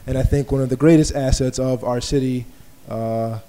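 A man from Baltimore hosts a podcast recorded live in an art space. He sounds easygoing and unhurried, with a mellow, warm tone. His pitch sits in the middle of the male range. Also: steady tone. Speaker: podcast host.

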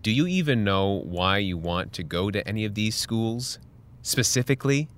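A concerned, firm male high school counselor in an audio drama. His voice sits on the low side with very varied intonation, and he presses his point in a measured, earnest way. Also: expressive, fairly bright tone. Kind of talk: scripted audio drama dialogue.

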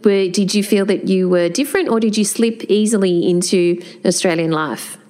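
A woman interviewing a guest, asking questions attentively and gently prompting him to go on. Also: medium pitch, moderate pace.

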